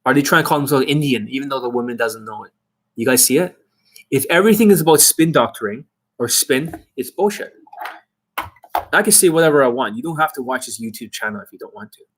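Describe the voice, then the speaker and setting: A man's voice: mid-range voice, expressive, fast talker. A young man and dating coach delivering a blunt, swearing monologue full of rhetorical questions to his viewers. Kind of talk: ranting monologue to camera.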